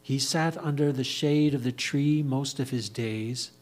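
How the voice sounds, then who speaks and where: Male storyteller for reader's theatre: very measured, dramatic phrasing, mid-pitched. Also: darkish tone.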